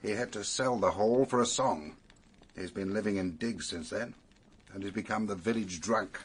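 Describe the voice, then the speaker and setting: An actor playing an authoritative, wry police superintendent in a British radio drama, setting out facts in an orderly, emphatic way with a British accent. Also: male voice, on the low side, breathy edge. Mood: wry, explanatory. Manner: measured, emphatic, expository.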